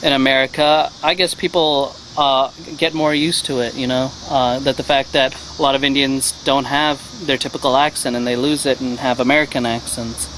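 A young man answering interview questions outdoors into a handheld mic, halting with many fillers. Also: mid-range voice, level tone, fairly bright tone, a little hiss or hum, rough recording. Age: young adult. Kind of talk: casual interview. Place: outdoors against a garage door. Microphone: handheld mic held by interviewer.

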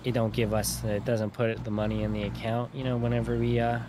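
A man's voice: medium pitch, little pitch movement, fairly quick. A man improvising casually as a mechanic in an online roleplay game, rambling and swearing as he talks. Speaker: roleplayer playing an auto shop mechanic. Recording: clearly noisy game voice chat, vehicle sounds.

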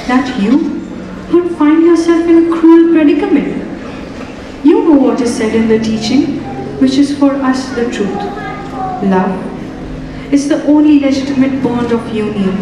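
A young woman acting a calm, dignified wife on a school stage, speaking in measured, solemn declamation. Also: sits high, noisy background. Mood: gentle. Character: serene, dignified wife who gives up her husband. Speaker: student actor in a stage play. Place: large auditorium stage. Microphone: distant stage mic. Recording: echoey auditorium from far off.

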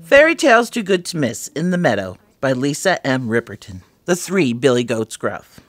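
A woman narrating a children's fairy tale for story time, with expressive, dramatic delivery. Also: very deep voice, moderate pace, no echo, faint music behind.

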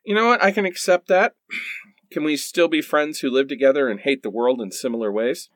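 A man's voice: on the high side, normal speed, a bit bright, no echo, studio quality, close to an everyday voice. A man playing an amiable, sardonic husband in a radio play. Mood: accepting, wry. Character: amiable, sardonic husband making peace with heartbreak.

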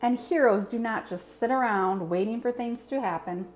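A woman's voice: fairly high pitch, normal speed, lightly acted. A woman voicing a boastful, earnest monster superhero in a storybook, with formal, over-enunciated phrasing. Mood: self-important. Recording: clear background room noise.

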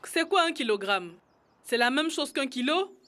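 A woman's voice: high-pitched, animated, moderate pace, fairly bright tone. A woman voicing an earnest, curious little boy in a children's cartoon, asking things politely. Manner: inquisitive, polite.

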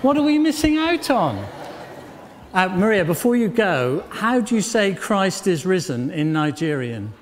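Older man, service leader, high-pitched, jovial, teasing, handheld mic, echoing church. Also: sing-song, a little slow, slight background noise. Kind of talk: leading an all-age service. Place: large church hall. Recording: reverberant church hall.

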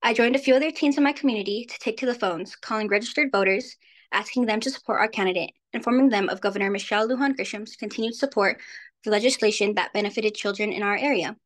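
A woman's voice: medium pitch, fast talker. A seventeen-year-old girl from New Mexico giving an earnest prepared speech on a video call. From New Mexico, USA. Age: teen, 17. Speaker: youth speaker. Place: indoors, virtual background. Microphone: video call webcam.

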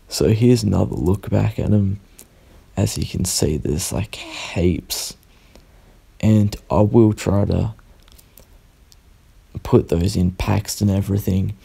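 A young man, sounding croaky and tired, rambling through a casual unboxing voice-over at home and correcting himself as he goes. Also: low-pitched, warm tone. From Australia. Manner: rambling, self-correcting, unhurried. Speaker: sticker artist vlogger.

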